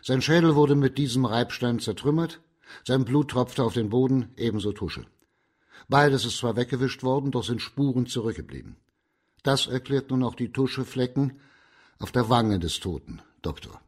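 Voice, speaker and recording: An actor playing a shrewd, middle-aged judge of imperial China in a radio crime play, formal, measured and authoritative, with a husky voice. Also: male voice, mid-range voice, animated, close to an everyday voice. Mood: stern, decisive.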